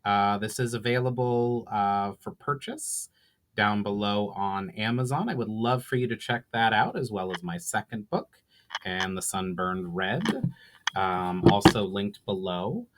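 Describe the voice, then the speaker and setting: A man's voice: lowish voice, animated, unhurried. A man hosting his own reading show, talking casually to viewers in a friendly, hesitant way. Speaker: author and host. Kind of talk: casual sign-off chat to viewers.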